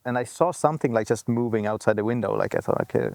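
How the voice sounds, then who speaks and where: A man's voice: mid-range voice, fast talker, gravelly, darkish tone, no room sound. Man telling a story casually, lots of fillers. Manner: loose, filler-heavy talk with frequent restarts. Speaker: interviewee.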